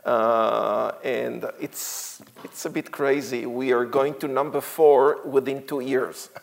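Slow-talking Israeli man in his sixties. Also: mid-range voice, fairly even intonation, vocal fry. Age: 60s. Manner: halting, many fillers, frequent light laughs. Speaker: guest speaker, tour guide. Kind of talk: church talk to a congregation.

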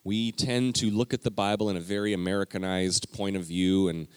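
A man with a low voice gives a teaching sermon in a church, speaking straight to the people in the pews. His delivery is lively and emphatic, and the sound is close and dry. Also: brisk, clean tone, no echo. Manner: lively, emphatic, talks directly to the congregation. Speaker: pastor. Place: church sanctuary.

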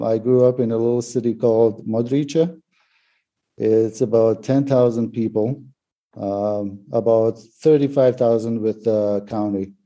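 Slow, dark-voiced man from Bosnia. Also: lowish voice. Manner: slow, measured, with many pauses and fillers. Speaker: guest.

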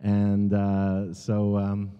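A middle-aged American man giving a sermon as a guest speaker, halting and earnest, with many ums and restarts. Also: on the low side, monotone, clean tone. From United States. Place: large synagogue sanctuary. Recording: long hall reverb, dull sound.

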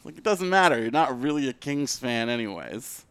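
A man's voice: mid-range voice, very animated, normal speed, a bit bright, smooth, dry recording. A man co-hosting a podcast in casual sports chat, talking conversationally and swearing freely. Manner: profane, conversational. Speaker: podcast co-host.

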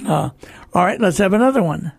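A man's voice: high voice, animated, fairly quick, vocal fry, slightly rough. A man hosting a radio program in casual, folksy conversation. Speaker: radio host.

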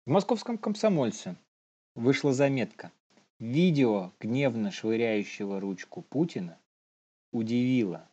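A man's voice: mid-range voice, animated, a little slow, dark tone, faint music behind. A man co-hosting a podcast, telling stories casually and reading out news items, on slightly muffled audio. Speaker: podcast co-host.

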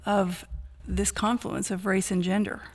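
A woman's voice: mid-range voice, flat delivery, unhurried. A woman hosting a podcast as a law school dean, reading an introduction and then chatting with her guest. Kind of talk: podcast interview. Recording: gravelly voice, long reverb, faint music.

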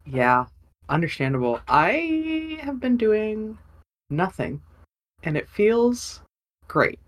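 A man's voice: sits high, big pitch swings, takes it slowly, fairly bright tone. Rambling man in his thirties. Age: 30s. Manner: rambling, self-deprecating, many fillers. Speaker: podcast co-host. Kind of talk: casual chat.

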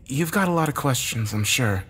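A man's voice: medium pitch, lively intonation, normal speed, a bit bright, breathy edge, pitched above the performer's own voice. A man voicing a desperate, bitter man in a dramatic story, agitated, urgent and given to swearing. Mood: explaining, frustrated. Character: Josh, desperate bitter man, cornered game loser.

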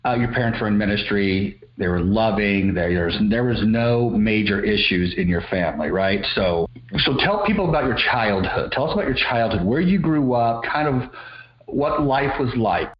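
A man's voice: on the low side, expressive, normal speed, roomy sound, muffled recording. A man hosting an interview, asking long rambling questions and giving frequent brief affirmations. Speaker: interview host. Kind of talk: conversational interview.

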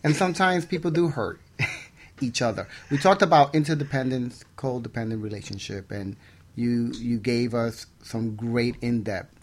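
Male talk radio co-host, average pitch, casual delivery that gropes a little toward each question. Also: moderate pace, a little hiss or hum. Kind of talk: casual talk show hosting.

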